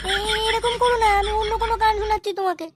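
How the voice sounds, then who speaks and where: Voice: very high voice, fairly even intonation, very fast, a little hiss or hum. A performer voicing a meek, dotty woman singer in an adult comedy cartoon, coy and hesitant. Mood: pleading.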